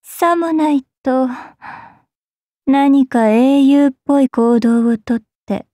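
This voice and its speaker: A woman's voice: high-pitched, slow, dark tone, no echo, studio quality. Stern, haughty noblewoman. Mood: stern, threatening. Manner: imperious, scolding. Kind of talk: game character acting.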